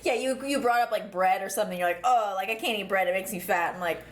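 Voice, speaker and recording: A woman's voice: medium pitch, slight background noise. Woman co-host, playful teasing banter, short asides. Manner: quick teasing interjections. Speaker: podcast co-host. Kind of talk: casual chat.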